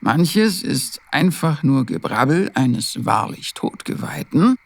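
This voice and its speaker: A man playing a bitter, broken storyteller in a fantasy audio play, gruff, weary and bossy. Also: fairly high pitch, sing-song, slow pace, no echo, exaggerated voice.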